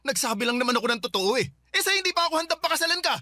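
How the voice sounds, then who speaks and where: A man's voice: very high voice, sing-song, racing, pushed and bright, dry recording, clean studio sound, full character voice. A man acting a tired young man defending himself in a radio drama argument with his girlfriend. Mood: arguing, weary. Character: weary young man in toxic relationship.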